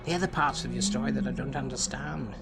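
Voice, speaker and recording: Male actor as a clinical machine therapist for audio drama; medium pitch, patient, formal, insistently probing, music playing clearly underneath. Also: fairly quick. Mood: patient, coaxing. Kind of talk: scripted audio drama dialogue.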